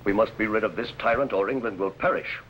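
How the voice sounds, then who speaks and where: An actor playing a grave, indignant medieval baron, speaking formally and declaiming in 1950s television drama style. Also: male voice, fairly low pitch, fast talker, fairly bright tone, lightly acted. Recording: dull, faintly noisy old TV soundtrack.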